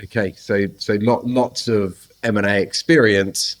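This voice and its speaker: A man's voice: fairly low pitch, steady tone, a little slow. A man hosting a podcast, introducing his guest and asking friendly, loosely phrased questions full of fillers. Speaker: podcast host. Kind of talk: interview hosting.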